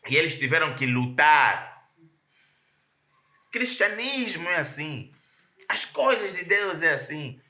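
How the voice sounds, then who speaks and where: A man's voice: on the high side, sing-song, slow pace, long pauses, bright, forceful tone, roomy sound. A male pastor preaching a sermon, emphatic and often repeating words as he searches for the next phrase.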